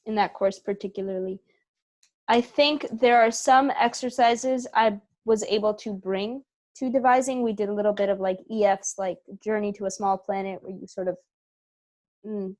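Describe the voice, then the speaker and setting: A woman's voice: mid-range voice, darkish tone. Woman chatting casually, thinking aloud. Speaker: interview guest, theater company member. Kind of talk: casual interview chat. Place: remote video call.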